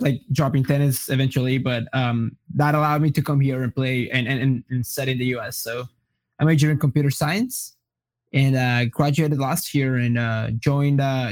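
A young man from Colombia, a native Spanish speaker, answering interview questions in a relaxed way with many fillers and restarts. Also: mid-range voice. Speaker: guest. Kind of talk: casual interview.

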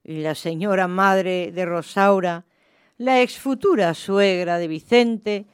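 A woman's voice: medium pitch, slow, smooth, dry recording, clean studio sound. A woman narrating a literary story for radio theatre in a scripted reading style.

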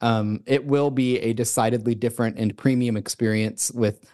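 A man's voice: mid-range voice, fairly even intonation, moderate pace, a little mellow. Guy cohosting a sports pod, loose rambly talk, lots of ums. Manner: loose, rambling, many fillers and restarts. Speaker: podcast co-host. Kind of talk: casual sports podcast chat. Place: remote recording, separate from co-host.

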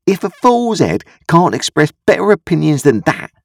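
Man voicing a shrill, scolding shrew of a woman. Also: sing-song, moderate pace, creaky, no echo, studio quality, exaggerated voice. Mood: scornful anger. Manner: snappish, bossy. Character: sharp-tongued, scolding, bad-tempered adult sister.

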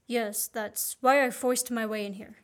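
A woman's voice: fairly high pitch, steady tone, a little slow, lightly acted. A woman acting an earnest young man on the run in a radio play. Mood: relieved, charming. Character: Richard Hannay, earnest young man, innocent fugitive. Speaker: student actor.

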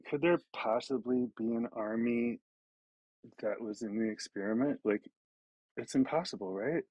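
A man hosting a podcast, chiming in with animated questions. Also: mid-range voice, normal speed, no echo, dull audio. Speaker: podcast host. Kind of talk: casual interview questions.

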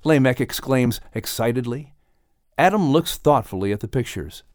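A man reading a scripted audiobook story as its narrator, with expressive delivery. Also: medium pitch, moderate pace, no room sound, studio quality.

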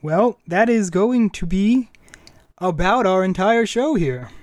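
A man's voice: high-pitched, animated, takes it slowly, no room sound. A man hosting a casual podcast, chatting informally and a little hesitant when he improvises. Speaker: podcast host.

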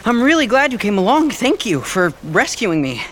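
A man's voice: sits high, very animated, normal speed, fairly bright tone, a little hiss or hum. A young man playing a naive, earnest fairytale prince in an audio drama, flustered and prone to stammering. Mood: flustered, smitten.

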